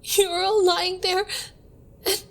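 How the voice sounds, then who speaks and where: A woman's voice: very high-pitched, level tone, slow pace, gravelly, exaggerated voice. A woman playing an earnest, caring but shaken spacecraft crew member in an audio drama.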